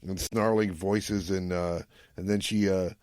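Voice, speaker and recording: A man with a fairly deep voice talks in a dry, close recording. He co-hosts a casual recap show, retelling plots in an animated way and slipping into quoted lines as he goes. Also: a little slow, dry recording. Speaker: podcast co-host. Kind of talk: casual recap chat about retro TV sci-fi.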